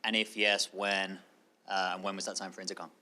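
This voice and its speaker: A man's voice: low voice, level tone, a little fast, pushed and bright, slight background noise. A man in the audience at a talk, asking spontaneous, loosely phrased questions.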